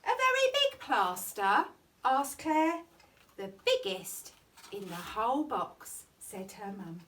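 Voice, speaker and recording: A woman's voice: on the high side, very animated, slow pace, a bit bright, far from the mic. A woman reading a children's bedtime story theatrically, stretching words with exaggerated emphasis. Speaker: storytime reader at a primary school.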